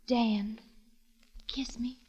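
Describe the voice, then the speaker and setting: A coy young sweetheart with a high voice and a tartly jealous edge. The performance is 1940s radio drama, heard on a dull, muffled old recording. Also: female voice, steady tone, very slow, mellow, slightly rough, heavily acted. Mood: tender, breathy.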